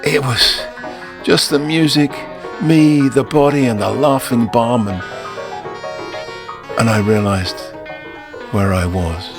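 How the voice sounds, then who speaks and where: A man narrating a scripted first-person short story in a theatrical, intense way with deliberate phrasing. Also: mid-range voice. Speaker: narrator and performer. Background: music.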